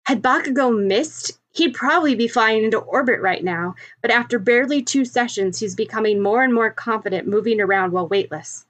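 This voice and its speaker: A woman's voice: on the high side, brisk, hardly any pauses, a bit bright. Woman narrating a scripted story. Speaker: podfic narrator.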